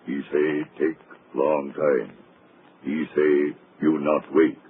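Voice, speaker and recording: Big dumb henchman, super deep flat voice, broken english, tinny old radio. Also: full character voice. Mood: dull menace. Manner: broken speech, slow and flat. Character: hulking brutish male servant, slow and simple-spoken. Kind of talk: 1940s radio acting. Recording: tinny, hissy 1940s radio broadcast.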